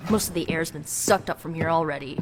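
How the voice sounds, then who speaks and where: A woman's voice: low voice, quick pace, background noise, close to an everyday voice. A woman playing a hot-tempered young female hero in a video game, ranting with sarcasm and heavy emphasis. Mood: bitter, sarcastic. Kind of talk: video game cutscene voice acting. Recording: long hall reverb.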